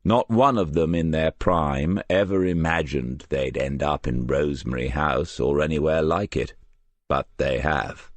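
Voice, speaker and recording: Deep-voiced wry detective read by a man. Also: a little slow, no echo. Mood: wry, troubled. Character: seasoned, wry, reflective male police detective.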